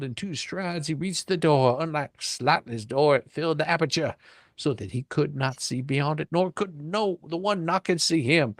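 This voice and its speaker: A man's voice: medium pitch, no echo, clean studio sound. A man reading a storybook dramatically, with theatrical delivery and playful, folksy, ungrammatical embellishments. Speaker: reader, storyteller.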